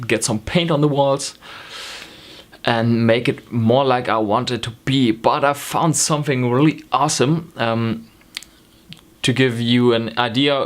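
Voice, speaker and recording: A young man from Germany with a German accent, chatting to camera in a rambling way, with many ums and self-corrections, from a small home room. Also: mid-range voice. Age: young adult. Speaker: knitting vlogger. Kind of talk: casual chat to camera. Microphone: camera in front, close framing.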